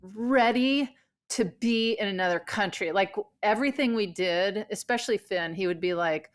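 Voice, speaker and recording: A woman's voice: medium pitch, moderate pace. A woman co-hosting a podcast in casual chat, telling stories with lots of fillers and restarts. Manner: rambling storytelling with many fillers. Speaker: podcast co-host.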